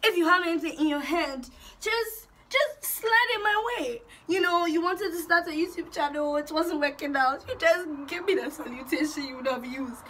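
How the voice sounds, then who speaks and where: A young woman from Ghana chatting casually and excitedly in an intro vlog, giggly and animated, recorded in a small bedroom. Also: very high voice, moderate pace. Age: young adult. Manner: bubbly, giggly, animated, gesturing. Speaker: YouTube vlogger.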